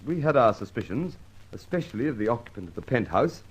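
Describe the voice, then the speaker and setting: An actor playing a brisk, businesslike police inspector in old-time radio drama, polite, dry and official. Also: male voice, medium pitch, big pitch swings, husky. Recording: dull vintage radio recording.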